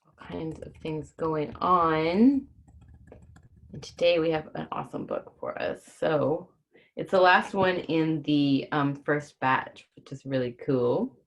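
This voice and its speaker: A woman's voice: sits low, slow, gravelly. A woman hosting a casual livestream read-aloud for children, talking informally and keeping things simple for young listeners. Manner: informal, explains hard words simply for children. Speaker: host reading a children's book on a livestream.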